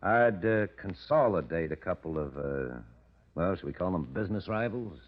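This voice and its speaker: Deep-voiced, sly press agent. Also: male voice, lively intonation, normal speed. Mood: sly, goading. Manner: insinuating, needling, smooth-talking schemer. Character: sly, goading male press agent to a gangster. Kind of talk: 1940s radio drama acting. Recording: dull vintage radio broadcast.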